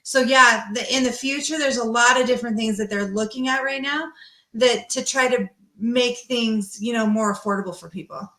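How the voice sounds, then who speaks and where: Female lending expert, slightly high voice, talkative and emphatic, casual explainer, echoey room sound. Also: moderate pace, a bit bright. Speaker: mortgage lender, guest expert.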